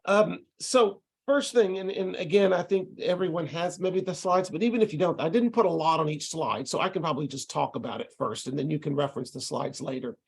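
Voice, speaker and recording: A middle-aged man with a Texas accent, giving a relaxed, conversational lecture over a video call with frequent ums and restarts. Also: on the high side, a little fast, vocal fry, few pauses, slightly rough. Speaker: ESL instructor presenting to tutors.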